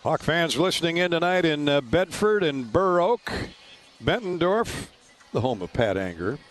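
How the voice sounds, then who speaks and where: A man hosting a sports radio broadcast, giving a halftime recap with frequent halting pauses. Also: on the high side, animated, faint music behind. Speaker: sports radio play-by-play host.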